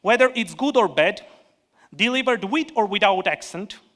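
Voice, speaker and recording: Man with an Armenian accent, economist giving a panel speech. Higher pitch, bright tone, earnest and measured, restarts the odd word. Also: expressive. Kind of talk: prepared conference speech.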